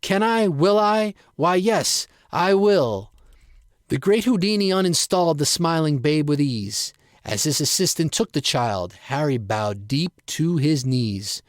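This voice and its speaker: A man recites rhymed verse like a showy storyteller. His voice sits a little high and swings widely in pitch. It is recorded dry and close. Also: expressive, unhurried, no echo. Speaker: poet reading own poem.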